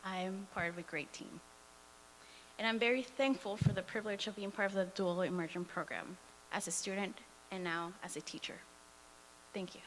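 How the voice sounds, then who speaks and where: A woman's voice: medium pitch, normal speed, a little hiss or hum, poor audio. A woman in her twenties whose first language is Spanish, a teacher reading a prepared public comment with occasional fillers at a school board meeting. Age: 20s. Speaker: dual immersion teacher.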